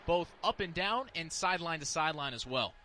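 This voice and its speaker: High, sharp-voiced American male football analyst. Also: animated, brisk. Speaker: color commentator.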